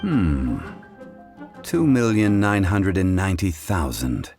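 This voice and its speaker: A refined gentleman scholar from a video game, with a deep voice that moves expressively. He is composed and formal, weighing each phrase with ponderous care. Music plays behind him. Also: sing-song, takes it slowly, no room sound, heavily acted. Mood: composed, musing. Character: refined, scholarly gentleman, calm and absent-minded about money. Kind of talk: video game dialogue acting. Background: background music.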